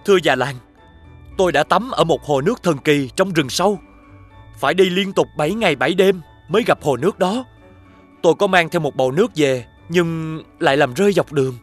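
Guy voicing young fairy-tale hero, pretty high voice, brave n earnest, rallying folks. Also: fairly quick, soft background music, lightly acted. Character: brave, resolute young village hero with supernatural strength. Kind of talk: animated fairy-tale voice acting.

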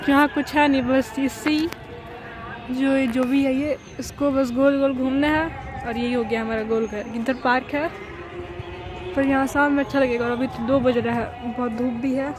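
A woman's voice: high voice, fairly even intonation, normal speed. A young woman from Bihar, India, talking casually to viewers as a travel vlogger. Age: young adult. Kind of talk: casual vlog narration to viewers. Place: mostly outdoors in a city. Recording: loud outdoor street and traffic noise.

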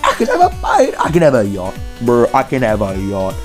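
A man's voice: medium pitch, huge pitch swings, brisk, soft background music. Joking young guy rambling over gameplay. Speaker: gaming YouTuber. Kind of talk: casual gameplay commentary.

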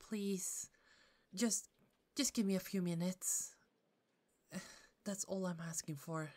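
Slow, halting hurt office coworker played by a woman. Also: on the low side, darkish tone. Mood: nervous, hesitant. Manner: halting, pleading, sighing pauses. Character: hurt, fed-up female office coworker confronting a bully. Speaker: ASMR roleplay performer. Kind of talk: ASMR roleplay, slow intimate monologue.

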